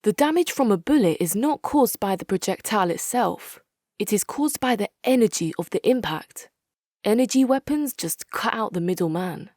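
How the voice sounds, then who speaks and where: Actress as dry, resolute military commander. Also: female voice, medium pitch, expressive, normal speed, a little mellow, no echo, lightly acted. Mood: firm. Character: hard-nosed, articulate female military commander, dry and resolute. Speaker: video game faction leader. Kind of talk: dramatic scripted game quotes.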